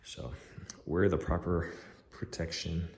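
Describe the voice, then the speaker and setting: A man talking through his garage tool-storage setup in a casual, halting way with many ums and restarts, his voice going hoarse, filmed on a handheld phone. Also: sits low, fairly even intonation, darkish tone, reverberant. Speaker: DIY garage vlogger. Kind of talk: casual rambling walkthrough. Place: home garage. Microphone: handheld phone camera.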